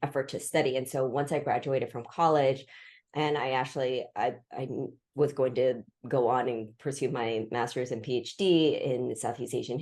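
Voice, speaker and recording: A woman's voice: a bass voice, a little mellow. A woman in her forties, raised in the US, telling her life story as an interview guest with thoughtful restarts and fillers. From Vietnam, raised in the US. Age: 40s.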